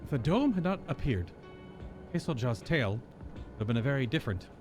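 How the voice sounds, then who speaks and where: A man voicing a thoughtful, formal game character as he reads dialogue aloud, measured and earnest. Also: medium pitch, sing-song, lightly acted. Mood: thoughtful, solemn. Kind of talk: reading quest dialogue aloud. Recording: game music behind.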